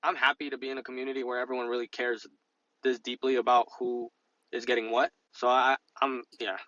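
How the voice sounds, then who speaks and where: Bright-voiced man chatting, slightly lo-fi. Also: mid-range voice, level tone, fairly quick, no room sound. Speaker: podcast co-host discussing stock investing. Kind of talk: casual conversational chat.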